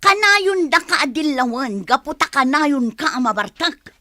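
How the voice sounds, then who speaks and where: Actress as fed-up village wife, Ilocano Filipino accent, high-pitched, swooping, emphatic radio drama scolding. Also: female voice, expressive, normal speed, bright, forceful tone, no echo, studio quality. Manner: nagging, emphatic. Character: exasperated hardworking wife and mother scolding her lazy husband.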